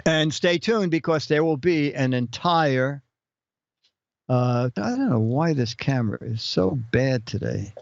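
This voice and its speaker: A man's voice: mid-range voice, measured pace, dry recording, muffled recording. A man hosting a casual live-stream chat, joking around and reading out viewer questions. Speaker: show host.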